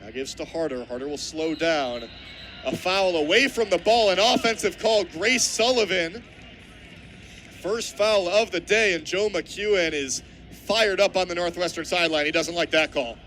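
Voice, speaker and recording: A man in his twenties calling live basketball play-by-play for radio, descriptive and animated, swelling on big plays. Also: sits high, normal speed. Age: 20s. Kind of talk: live radio sports commentary. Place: courtside at basketball games. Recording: background noise of a live game.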